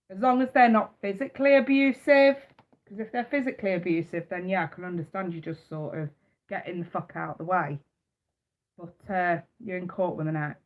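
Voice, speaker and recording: A woman with a stuffy nose from a cold, giving a blunt, fired-up live tarot reading and swearing freely. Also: fairly low pitch. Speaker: tarot reader.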